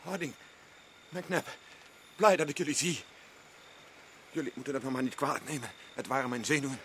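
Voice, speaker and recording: A man's voice: mid-range voice, moderate pace, a bit bright, slight background noise, close to an everyday voice. A man playing a nervous, sick explorer in a radio play, talking in a pleading, shaken way. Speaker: expedition member.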